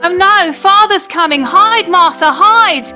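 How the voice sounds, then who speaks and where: A woman voices an excitable small child in a radio drama. The voice is extremely high and bright, squealing eagerly, with drawn-out words over faint music. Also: exaggerated voice. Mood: excited. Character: excitable young child begging father to play. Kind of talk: radio play acting.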